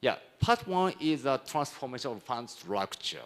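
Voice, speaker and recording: A man from Japan with a Japanese accent gives a conference presentation in halting, non-native English with broken phrasing. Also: mid-range voice, big pitch swings. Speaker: conference speaker, hedge fund executive.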